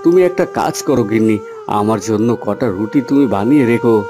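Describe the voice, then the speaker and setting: An actor playing a poor, humble and weary village farmhand in a cartoon fairy tale, speaking earnestly. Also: male voice, fairly low pitch, moderate pace, over music, lightly acted. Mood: resigned. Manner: earnest, pleading.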